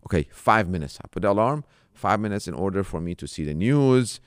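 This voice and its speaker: Male, middle-aged, Islamic scholar guest. Deep pitch, dry sound, conversational teaching with simple examples. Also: expressive, brisk, clean tone, dry recording. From Iraq. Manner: explains with simple examples and rhetorical questions, Arabic religious terms mixed in. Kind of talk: conversational religious teaching in an interview.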